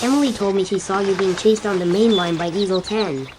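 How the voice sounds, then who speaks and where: A woman voicing a loyal, excitable, earnest young male engine in a cartoon dub. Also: mid-range voice, a little fast, noisy background, lightly acted. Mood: worried. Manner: concerned, earnest.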